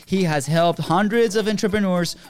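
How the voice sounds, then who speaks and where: A man's voice: high-pitched, moderate pace, clear, resonant tone, soft background music. A man co-hosting a podcast, upbeat and laughing often, with many fillers as he talks. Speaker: podcast co-host.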